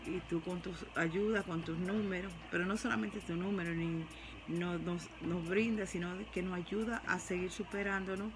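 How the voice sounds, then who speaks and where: Hoarse woman calling in, earnest and thankful, music playing under her. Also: fairly low pitch. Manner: earnest, blessing-giving. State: hoarse from flu. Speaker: caller, longtime follower.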